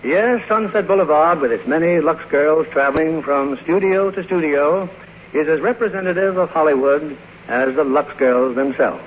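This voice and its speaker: A man's voice: a little high, normal speed. A man hosting a radio theater, reading a scripted introduction in a formal, showmanlike 1950s announcing style. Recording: muffled old radio broadcast, faint hiss.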